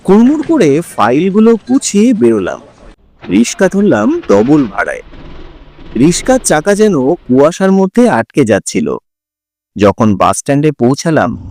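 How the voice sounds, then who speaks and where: A man narrating a scripted first-person horror story, dramatic and suspenseful, in deliberate phrases broken by pauses. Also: fairly high pitch, a little mellow.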